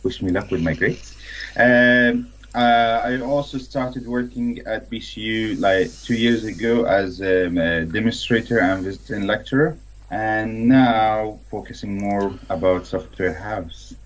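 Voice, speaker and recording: A man's voice: fairly low pitch, unhurried, poor audio. A man from Syria, a tech lead speaking casually on a video-call panel. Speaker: tech lead and master's graduate.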